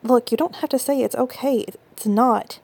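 A young woman playing an affectionate, intense girlfriend in an intimate audio roleplay, speaking close to the mic in soft whispers that break into stammers. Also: mid-range voice, flat delivery, slow pace, creaky, husky, exaggerated voice. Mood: flustered, apologetic.

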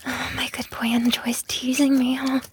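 A woman performing a whispered intimate roleplay, breathy and sighing, coaxing the listener by turns with commands and soothing reassurance. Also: sits high, monotone, normal speed, background noise. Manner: breathy whispering with frequent sighs.

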